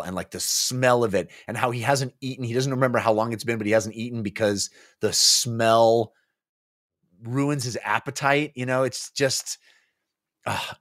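A man's voice: mid-range voice, no room sound. Man talking books, dry close mic. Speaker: book club podcast co-host. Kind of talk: casual animated book discussion. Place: small home office, indoors. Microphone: close podcast mic on boom arm.

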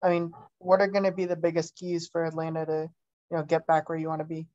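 A woman's voice: deep voice, monotone, fast, warm tone, lo-fi sound. A woman sports reporter asking a coach questions at a press conference, hesitant and full of fillers, over remote audio.

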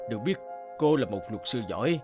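A man's voice: medium pitch, lively intonation, a little fast, over music, close to an everyday voice. A man voicing a burly prison lieutenant who speaks formally and officially in a dubbed cartoon. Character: burly male prison lieutenant, stern but polite.

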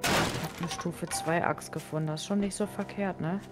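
Woman, excitable, teasing, casual gaming chat, quick exclamations, clear background music. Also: on the low side. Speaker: co-op gaming partner.

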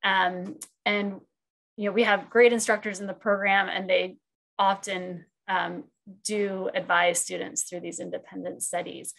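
A woman in her forties answers student questions as program chair over a video call with wired earbuds. Her voice has a gravelly fry, and her pitch sits mid-range. She hesitates often and restarts sentences as she thinks. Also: flat delivery, a little slow, fairly bright tone, low-quality recording. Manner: hesitant, many fillers and restarts, thinks aloud. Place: room at home.

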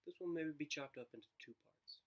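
A man in his thirties narrating a children's book aloud in an expressive read-aloud style. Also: a little high, fairly quick, lo-fi sound. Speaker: storybook reader. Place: living room, indoors.

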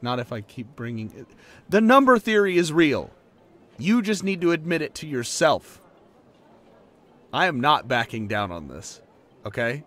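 A man's voice: on the high side, big pitch swings, unhurried, long pauses, a bit bright. A young man acting a laid-back, playful working-class boyfriend in a conversational roleplay audio drama, informal and earnest. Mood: mock indignant. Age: young adult.

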